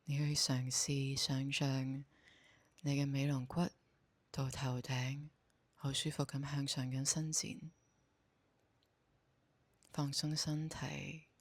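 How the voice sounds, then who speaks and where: A woman with a Hong Kong Cantonese accent guides a meditation in a soft, breathy voice, speaking calmly and giving gentle instructions. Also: very deep voice, dry recording. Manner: slow, calm, gently instructing.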